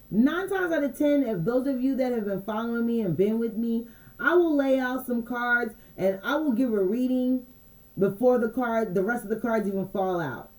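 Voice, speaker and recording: A woman's voice: a little high, low-quality recording. A woman who does intuitive card readings, talking casually to her viewers and often checking in with them. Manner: relaxed, conversational, repeats phrases and asks "you dig me?". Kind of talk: casual channeled message livestream.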